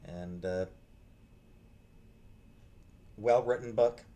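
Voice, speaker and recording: Low-voiced man, halting casual reviewer. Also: warm tone. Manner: halting, hesitant, trailing off and restarting. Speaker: book reviewer, vlog host. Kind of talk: casual unscripted book review chat.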